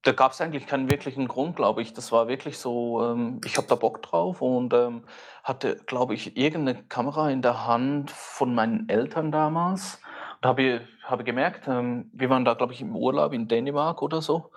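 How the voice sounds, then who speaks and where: A man's voice: mid-range voice, moderate pace, slight background noise. A man with a Swiss German accent, a guest on a podcast, talking hesitantly with many fillers. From Switzerland. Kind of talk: casual chat.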